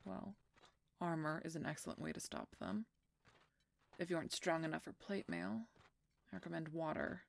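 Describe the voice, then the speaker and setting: Woman acting as a gruff lady werewolf knight, lowish super raspy growly voice, dry n teasing, protective, fantasy roleplay audio. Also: big pitch swings, moderate pace, long pauses, heavily acted. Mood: instructive, wary. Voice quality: husky, gravelly. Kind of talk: roleplay audio drama, speaking to the listener.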